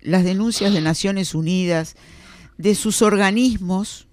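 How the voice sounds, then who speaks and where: A man interviewing a guest in Spanish, asking long, carefully framed questions in a measured way. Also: high-pitched, darkish tone.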